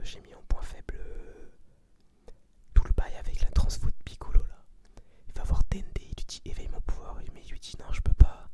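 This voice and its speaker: An ASMR host whispering a review in an informal, slangy, rambling way. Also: medium pitch. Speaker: ASMR video host giving a film review.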